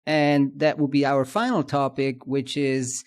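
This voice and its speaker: A man with a Swiss German accent talks shop in a relaxed podcast conversation. He thinks out loud and often pauses on "uh" and "um" before going on. His voice sits at a mid pitch. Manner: thinks aloud, many fillers and restarts. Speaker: podcast co-host. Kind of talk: casual industry chat.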